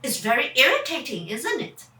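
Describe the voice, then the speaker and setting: High, very animated woman storyteller in echoey room. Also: normal speed. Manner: theatrical, puts questions to child listeners, vocal sound effects. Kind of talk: dramatic children's story reading. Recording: echoey room, far from mic.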